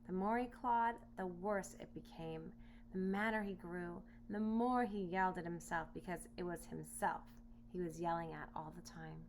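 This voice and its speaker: Expressive woman reading a children's story. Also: lowish voice, normal speed. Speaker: storybook reader. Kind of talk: expressive children's read-aloud narration. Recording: noisy home recording with hum.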